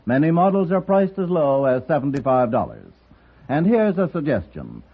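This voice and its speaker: A man with an American accent reading a scripted radio advertisement in a formal, deliberate, polished announcer's manner. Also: on the high side, huge pitch swings, slightly rough. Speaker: commercial announcer. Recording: tinny 1950s radio recording.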